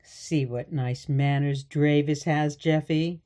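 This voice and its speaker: A woman's voice: low-pitched, steady tone, slow pace, mellow, a little hiss or hum. A woman playing a genteel, fretful elderly mother in a radio play, prim and sweetly coaxing. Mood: pleased, coaxing. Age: older.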